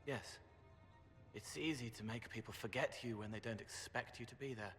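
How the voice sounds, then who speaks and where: A man voicing a quiet, eerie young male spirit who speaks softly and plainly, in a video game. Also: medium pitch, faint music behind, lightly acted. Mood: earnest. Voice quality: soft, halting, plain-spoken. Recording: game audio with long reverb.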